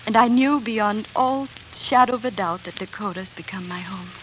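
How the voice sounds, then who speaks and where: Actress as earnest young prairie wife, old radio. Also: female voice, medium pitch, moderate pace, a little hiss or hum, natural voice, low-quality recording. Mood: reflective. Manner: earnest, dramatic delivery. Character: young homesteader wife and mother narrating prairie life, wistful, earnest. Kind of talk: 1940s radio drama acting and narration. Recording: 1940s radio broadcast recording.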